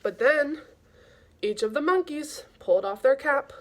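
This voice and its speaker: A woman reading aloud to children in an informal, expressive storytelling style. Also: high voice, measured pace.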